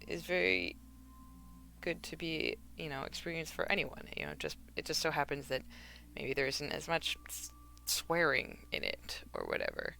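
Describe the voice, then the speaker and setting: A young woman streaming from home while she knits, chatting casually and rambling a little, her voice gravelly and slightly hoarse. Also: low-pitched, wildly swooping pitch, normal speed, bright, forceful tone, a little hiss or hum. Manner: rambling, chatty, with fillers and laughs. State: a bit hoarse from not talking for hours. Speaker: craft streamer. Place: at home, alone.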